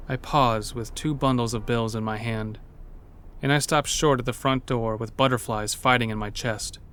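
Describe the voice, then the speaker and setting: A man playing a weary, brooding character who narrates his own story in an introspective, measured way. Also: mid-range voice, fairly bright tone, natural voice. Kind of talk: first-person audio drama narration.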